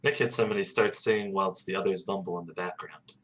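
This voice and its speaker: A man reading stage directions aloud at a table read in a lively, amused way. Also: low voice, fast.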